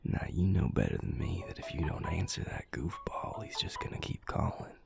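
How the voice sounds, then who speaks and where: Man acting a gravelly, whispering vampire lover. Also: low-pitched, very animated, moderate pace, over music, exaggerated voice. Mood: teasing, relaxed. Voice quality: gravelly, husky, breathy near-whisper. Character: affectionate teasing male vampire lover. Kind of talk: intimate romantic audio roleplay.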